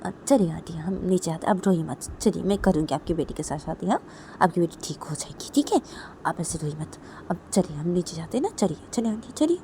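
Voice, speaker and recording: A woman voicing a reassuring young woman who gently coaxes and comforts, repeating herself. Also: fairly low pitch, animated, brisk, a little hiss or hum. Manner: gentle, repetitive coaxing.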